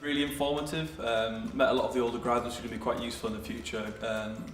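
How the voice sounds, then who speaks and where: Young Yarm man, graduate trainee, quick and gravelly, distant mic in an echoey room. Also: mid-range voice, flat delivery. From Yarm, England. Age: young adult. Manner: quick, with hesitant restarts. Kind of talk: casual interview answers. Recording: roomy, distant mic with music behind.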